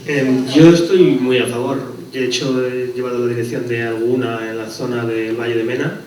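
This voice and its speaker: A man's voice: medium pitch, fairly even intonation, normal speed, darkish tone. A man on a building panel giving his view, hesitant, with fillers. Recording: echoey hall, distant mic, faint noise.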